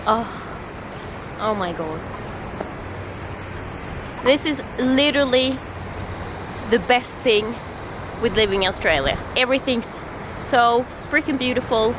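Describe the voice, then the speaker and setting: A young woman from Sweden with a Swedish accent, chatting excitedly in a casual travel vlog and laughing often. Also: on the high side, takes it slowly, long pauses, noisy background. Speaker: vlogger.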